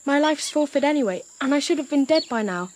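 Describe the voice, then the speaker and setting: A woman's voice: sits high, lively intonation, fast talker, a little hiss or hum. A woman voicing an earnest, brave young cabin boy in an audio drama. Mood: earnest, resolute.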